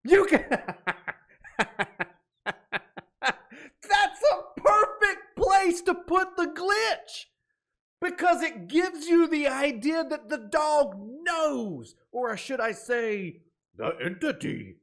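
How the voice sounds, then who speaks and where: A man with a high voice hosts a casual reaction video. His pitch swings widely and his manner is animated, with dramatic exclamations as he comments. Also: very slow, pauses often.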